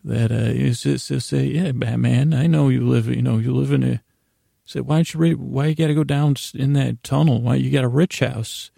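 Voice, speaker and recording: A man hosting a bedtime podcast in a gravelly voice, rambling in a halting, self-deprecating way that trails off mid-sentence to lull listeners to sleep. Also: mid-range voice, fairly even intonation, mellow, no echo, studio quality. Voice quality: gravelly, creaky. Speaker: podcast host.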